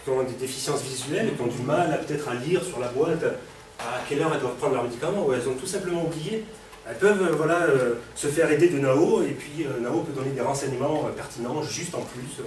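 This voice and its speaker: A man's voice: medium pitch, fast, darkish tone. Man with a cold, demoing a robot. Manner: casual, lots of fillers, asks the audience questions. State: has a cold. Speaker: robotics presenter. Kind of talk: live technical demo to an audience. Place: hall with an audience. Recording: echoey room, distant mic, background noise.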